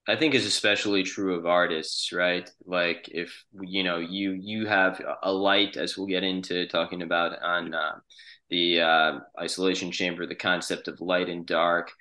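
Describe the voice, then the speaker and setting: Very deep, bright-edged man, discursive. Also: little pitch movement, normal speed. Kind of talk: casual podcast conversation.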